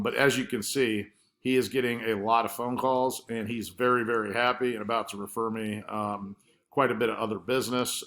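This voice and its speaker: A man's voice: medium pitch, bright, forceful tone. A man explaining marketing results in an informal, conversational screen-share presentation, feeling his way hesitantly through his points.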